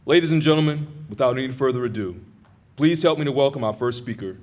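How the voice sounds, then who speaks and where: A man serving as master of ceremonies, introducing a speaker in a measured, formal announcing manner. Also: mid-range voice, a little mellow.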